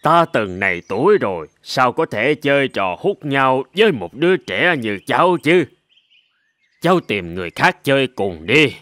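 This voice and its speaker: An actor voicing a stern, weary old sheep elder in an animated fable, firm and condescending toward the young. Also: medium pitch, moderate pace, no room sound. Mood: amused, dismissive. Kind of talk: Vietnamese animated fable dialogue.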